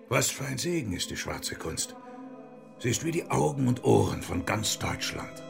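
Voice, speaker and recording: Radio drama monk reformer: earthy and scornful, heavily gravelly voice with wide swoops of pitch. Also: male voice, sing-song, measured pace, music in the background, heavily acted. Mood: dismissive, cocky. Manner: hearty, coarse, mocking, chuckling. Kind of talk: German radio play acting.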